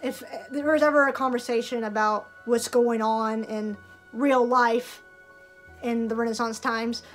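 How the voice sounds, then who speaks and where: A woman's voice: on the high side, steady tone, measured pace, soft background music. A young woman vlogger in casual chat to camera, chatty, with lots of filler words and laughs. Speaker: vlogger and festival performer.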